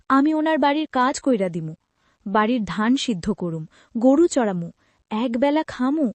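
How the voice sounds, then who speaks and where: Casting: devoted young daughter played by a woman, fairly high voice, earnest, dry cartoon recording. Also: a little mellow, dry recording, close to an everyday voice. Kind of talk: cartoon voice acting.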